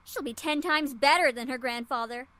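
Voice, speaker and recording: A sporty, brash teenage schoolgirl played by a woman for a visual novel. She is high-voiced and casually cocky, recorded dry with no room sound. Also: moderate pace, sharp tone, no room sound.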